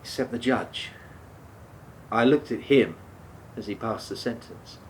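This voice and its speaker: A man's voice: a little slow, natural voice, low-quality recording. An actor in a radio play playing a remorseful, defensive man who speaks hesitantly, stumbling and repeating himself. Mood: subdued, awkward. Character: remorseful, defensive man haunted by a fatal drunk-driving crash. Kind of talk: naturalistic radio play dialogue. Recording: steady background noise, low rumble.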